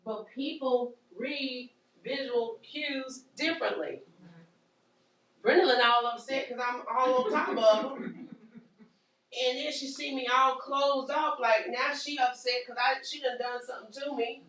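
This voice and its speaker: Woman trainer, loud and animated, teaching caregivers; roomy distant sound. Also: sits high, unhurried. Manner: animated, joking, acts out scenes, Southern-style casual grammar. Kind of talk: lively workshop teaching. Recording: echoey room from far off, faint noise.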